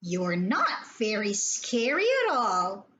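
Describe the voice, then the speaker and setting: A woman voicing a defiant, dramatic little girl in a children's storybook, with emphatic, drawn-out exclamations. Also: medium pitch, big pitch swings, sharp tone, pitched below the performer's own voice, exaggerated voice. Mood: unimpressed.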